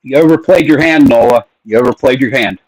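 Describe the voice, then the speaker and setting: A man's voice: medium pitch, brisk, darkish tone, slightly rough, no room sound, rough recording. A man co-hosting a livestream, chatting casually, playful and jokey. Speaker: livestream co-host. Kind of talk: casual chat.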